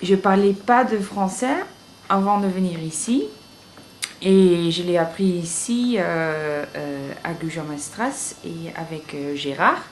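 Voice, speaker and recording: A woman who learned French as an adult, speaking hesitantly with pauses on fillers. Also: on the low side, slight background noise. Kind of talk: interview testimony.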